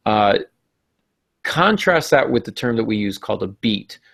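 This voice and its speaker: Man, podcast host, low pitch, gravelly fry, informal, dry close sound. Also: big pitch swings, a little slow, fairly bright tone, no room sound. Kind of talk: casual podcast discussion and writing advice.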